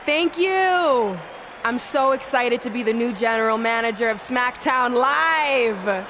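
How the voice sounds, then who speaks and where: A woman's voice: a little high, lively intonation, slow, background noise. A woman playing a self-assured, imperious general manager in a wrestling video game, making emphatic announcements. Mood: commanding. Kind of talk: video game acting, arena announcement on microphone.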